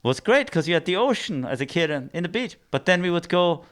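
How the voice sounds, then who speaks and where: A middle-aged man with an Austrian accent who tells his story in a relaxed interview, rambling with many restarts and fillers. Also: a little high, fairly quick. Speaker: arts program director.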